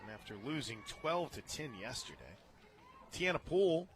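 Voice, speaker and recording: An American man doing live radio play-by-play of a softball game, chatting between pitches. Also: expressive, normal speed, a crowd in the background. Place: stadium radio booth, open to outdoor noise. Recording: clear outdoor ballpark noise.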